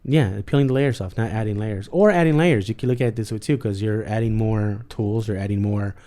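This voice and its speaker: A man's voice: on the low side, brisk, mellow. A man in his forties, a guest artist, talking in a casual, reflective way, rambling with lots of fillers. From San Jose area, US. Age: 40s. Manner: rambling, lots of "like" and "you know what I mean".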